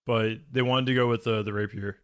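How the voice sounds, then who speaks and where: A man chatting casually as a podcast co-host, with hesitant, halting phrasing. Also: on the low side, steady tone, clear, resonant tone. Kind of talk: casual chat.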